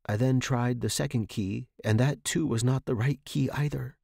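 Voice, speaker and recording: Male fiction podcast narrator, mid-range pitch, scripted storytelling, very dry sound. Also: normal speed, vocal fry, darkish tone, no room sound.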